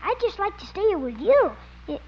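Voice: very high-pitched, sing-song, fairly quick, full character voice. An actor playing a shy, polite young boy in 1950s radio comedy-drama, hesitant and stammering. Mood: earnest, hopeful. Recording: dull, faintly noisy 1950s radio recording.

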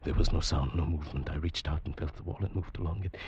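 An actor playing an uneasy traveller who narrates an eerie tale in a dramatic 1940s radio drama style. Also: male voice, a bass voice, fast, highs cut off, a little hiss or hum. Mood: tense, fearful. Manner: dramatic, hushed swelling to agitated. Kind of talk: 1940s radio drama acting, first-person scripted narration. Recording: old radio broadcast with music.

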